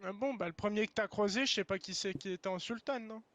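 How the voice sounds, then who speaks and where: A man's voice: high voice, quick pace, a bit bright. A man arguing casually in online game voice chat, slangy, blunt and defensive. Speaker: game server founder.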